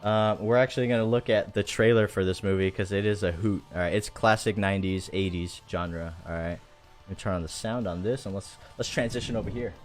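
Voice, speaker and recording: A man's voice: on the low side, fairly even intonation, a little fast. A man hosting a casual livestream chat in an enthusiastic, rambling, slangy way. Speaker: show host. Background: faint music.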